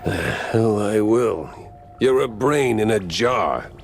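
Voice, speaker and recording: An actor playing a world-weary, hard-boiled mouse private detective in a cartoon noir game, sarcastic and deadpan. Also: male voice, fairly low pitch, slow, slight background noise. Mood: wry disbelief.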